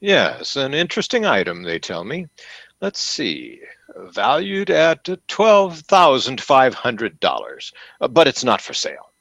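Gushy pompous salesman, old time radio comedy, really swoopy pitch, dry close sound. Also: a little slow, gravelly, no echo, full character voice. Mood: oily, discreet. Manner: effusive, flattering, theatrical, chuckling. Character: fawning, pompous salesman at a grand sporting goods store.

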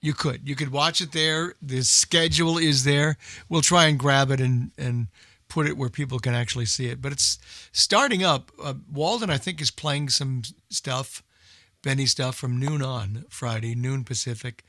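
A man's voice: medium pitch, moderate pace, sharp tone, no echo. Informal male radio host, dry close sound. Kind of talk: casual unscripted programme announcements.

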